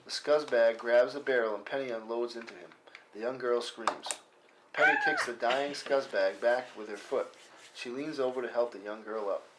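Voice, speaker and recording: A man's voice: mid-range voice, wildly swooping pitch, normal speed. A man reading out scene headings and action lines as scripted narration at a table read. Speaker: stage-direction reader. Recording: noisy live room recording with clicks.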